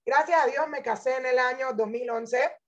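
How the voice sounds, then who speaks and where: A woman's voice: sits high, moderate pace. A woman, teaching through personal stories in an informal religious talk, dropping in English phrases. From born in Venezuela, grew up in Panama. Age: 30s. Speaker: Torah teacher. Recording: dull lo-fi audio.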